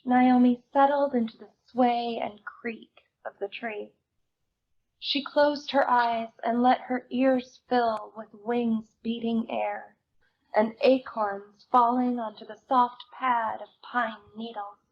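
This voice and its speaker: A woman giving a dramatic reading of literary fiction, with a measured, deliberate delivery. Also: a little high, highs cut off. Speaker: theater performer reading a book excerpt aloud.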